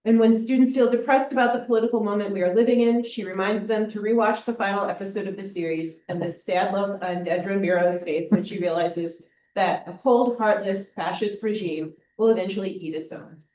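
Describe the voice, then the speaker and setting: A woman's voice: mid-range voice, fairly even intonation, brisk, dark tone, muffled recording. A woman chairing an academic panel, reading a prepared introduction with dry humor. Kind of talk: introducing a speaker. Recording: distant echoey room with faint hum.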